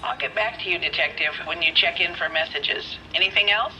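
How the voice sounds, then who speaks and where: An actress playing an efficient police telephone operator in a video game, polite and crisp, through a phone filter. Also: female voice, fairly low pitch, a little fast, sharp tone. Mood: helpful, businesslike. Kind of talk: video game dramatic acting, phone dispatch. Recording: dull telephone line, faint noise.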